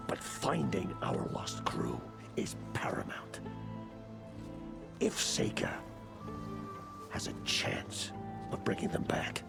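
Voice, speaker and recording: Very gravelly, grave admiral. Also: male voice, medium pitch, heavily acted. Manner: deliberate, authoritative. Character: grave, measured male admiral, weary voice of authority. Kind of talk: video game voice acting. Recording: loud music under the voice.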